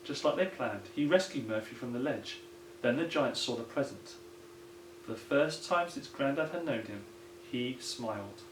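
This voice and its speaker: A man's voice: medium pitch, expressive, moderate pace. A man, a primary school teacher, reading a children's story aloud. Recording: roomy echo, some background noise.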